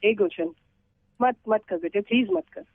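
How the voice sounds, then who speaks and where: A woman's voice: mid-range voice, big pitch swings, normal speed, no room sound. A woman receiving a prank call, speaking in conversation over a thin telephone line. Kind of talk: phone conversation.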